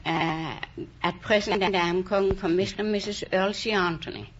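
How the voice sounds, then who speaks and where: A woman, answering a quiz host slowly and hesitantly, full of uhs. Her voice sits at an ordinary pitch for a woman and comes through a muffled old radio recording with a faint hiss. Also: steady tone. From Denmark. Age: 60s. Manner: hesitant, filler-heavy, giggly answers. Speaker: quiz contestant, cook.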